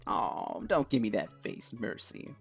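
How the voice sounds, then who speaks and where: A taunting schoolboy bully with a smug, cocky edge, as a man puts him on for a game read-through. His voice is gravelly and creaky. Faint music plays underneath. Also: lowish voice, very animated, unhurried, full character voice.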